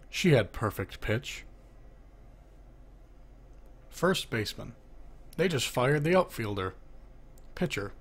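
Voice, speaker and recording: A man's voice: medium pitch, gravelly, husky. A man reading a children's joke book aloud, announcing who speaks before each line and lifting his voice for the questions. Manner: lifts his voice for the asking half of knock-knock jokes.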